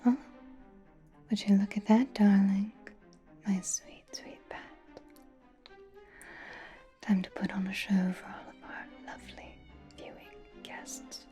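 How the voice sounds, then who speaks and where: A woman voicing a doting, possessive, elegant vampire in an intimate, coaxing, breathy whisper for an ASMR roleplay. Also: mid-range voice, steady tone, mellow, faint music behind, lightly acted. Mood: affectionate, inviting. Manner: intimate, coaxing, slow and genteel.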